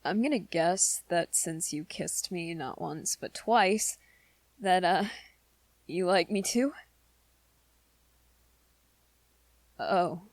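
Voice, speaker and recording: A woman voicing a shy, earnest, lovestruck young male werewolf in an ASMR romance roleplay, with halting, hesitant, soft-spoken delivery. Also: fairly low pitch, dry recording. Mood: tentative, tender.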